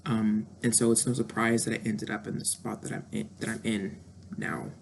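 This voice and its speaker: Gravelly, candid woman in her thirties. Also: rumbling low, level tone, normal speed, slight background noise. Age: 30s. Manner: candid, introspective, with frequent fillers. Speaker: vlogger. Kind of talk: reflective personal monologue.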